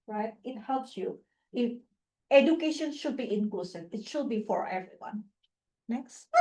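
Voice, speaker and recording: A woman's voice: on the high side, rough recording. Chatty woman speaker, English not native. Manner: chatty, many fillers and restarts; laughs often, animated. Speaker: guest speaker, faculty member. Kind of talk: informal talk with slides.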